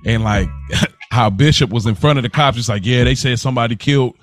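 A man's voice: mid-range voice, a little fast, faint music behind. A man co-hosting a podcast, talking casually in slang and telling things with quoted asides. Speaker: podcast co-host. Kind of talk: casual conversation.

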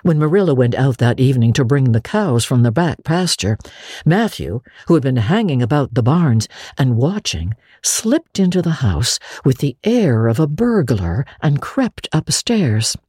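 Low, expressive woman narrator. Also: a little slow, husky, no room sound, studio quality. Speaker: audiobook narrator. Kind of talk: dramatic literary narration.

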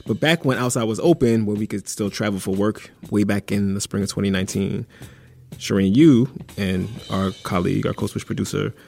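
A man's voice: lowish voice, fairly quick, creaky, darkish tone. A man co-hosting a podcast in a playful, joking way. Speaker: podcast co-host. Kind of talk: casual banter.

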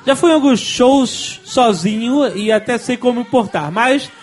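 A man's voice: extremely high pitch, lively intonation, unhurried. A man with a Brazilian accent co-hosting a podcast, reading questions and chatting casually. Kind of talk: casual chat. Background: faint music.

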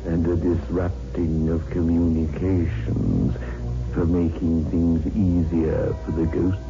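An actor playing a sardonic, ghoulish narrator who gloats slowly over ghosts in a 1960s radio horror show. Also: male voice, low-pitched, little pitch movement, creaky, full character voice. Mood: gloating, sinister. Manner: slow, gloating, drawn-out delivery. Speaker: horror series host. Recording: dull old radio recording with music.

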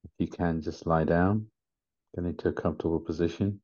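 A man leading a guided meditation in a gentle, soothing manner, with short unhurried instructions. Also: low-pitched, fairly even intonation, slightly rough. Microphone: video call. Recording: slightly muffled video call audio.

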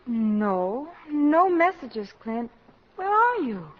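A woman playing a fond, patient, gently playful wife in a 1950s radio drama. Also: high-pitched, sing-song, extremely slow, full character voice. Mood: fond, sleepy. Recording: very noisy, muffled old radio broadcast.

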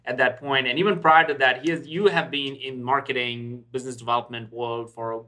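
Bright-voiced man hosting a podcast. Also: medium pitch, steady tone, brisk. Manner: informal, chatty. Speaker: podcast host. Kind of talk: casual podcast interview.

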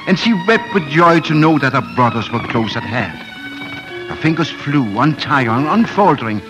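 A man's voice: medium pitch, muffled recording. A man narrating a story theatrically and suspensefully in 1940s radio drama style. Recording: old 1940s radio recording with music.

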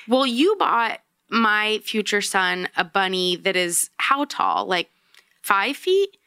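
A woman's voice: mid-range voice, big pitch swings, slow pace, vocal fry, pushed and bright, clean tone, dry recording, clean studio sound. A woman co-hosting a casual chat podcast, throaty from a cold, chatty and enthusiastic. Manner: chatty, enthusiastic, laughs often. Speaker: podcast co-host.

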